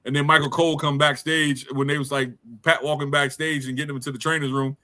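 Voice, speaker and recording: A man's voice: a little high, flat delivery, quick pace, bright, forceful tone. A man in his thirties hosting a casual podcast from home, reading viewer comments in loose slang, laughing often and mimicking people. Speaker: podcast host. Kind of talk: casual live-stream chat reading comments.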